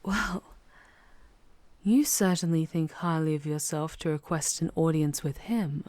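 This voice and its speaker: A woman voicing a seductive, proud, ancient female demon in an ASMR audio roleplay, speaking formally and deliberately with a teasing, commanding charm. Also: deep voice, a little mellow, no room sound. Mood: amused, incredulous.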